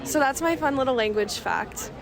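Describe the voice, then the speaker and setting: A woman's voice: high-pitched, normal speed, a crowd in the background. A woman student chatting offhandedly as an interviewee. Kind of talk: casual chat.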